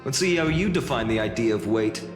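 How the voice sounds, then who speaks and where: A man's voice: medium pitch, expressive, a little fast, lightly acted. A man acting an earnest young guard hero in a video game, speaking theatrically. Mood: playful, confident. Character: young male holy city guard, earnest hero haunted by loss. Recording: echoey reverb, music behind.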